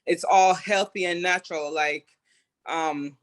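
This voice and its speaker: A woman from Jamaica, a home cook and food creator, chatting as an interview guest in an upbeat, animated way. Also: lowish voice, a little slow. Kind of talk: casual interview chat.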